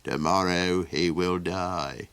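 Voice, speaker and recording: A man voicing a grave, hushed traveller. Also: sits low, fairly even intonation, slow pace, clear, resonant tone, dry recording, voice pushed down, exaggerated voice. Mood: ominous. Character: grave male traveller, ominous and warning.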